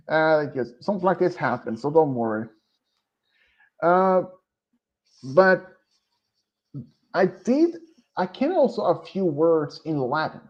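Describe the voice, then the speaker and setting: A man streaming who speaks English with a Swedish accent as a non-native speaker, talking casually in a hesitant, rambling way with many pauses and fillers. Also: a little high, big pitch swings, darkish tone. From Sweden. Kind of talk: casual live-stream chat. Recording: dull, lo-fi streaming mic.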